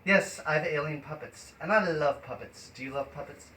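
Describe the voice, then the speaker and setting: Male live stream host, fairly high voice, rambling and hesitant delivery, light background noise. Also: brisk, pushed and bright. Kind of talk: improvised live stream chat. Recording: noisy live stream with ambient sound.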